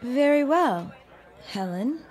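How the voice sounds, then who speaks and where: An actress playing a poised, curious young bride-to-be in a period audio drama, polite, refined and probing. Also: female voice, sits high, expressive, slow, a little mellow, a faint crowd. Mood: politely probing.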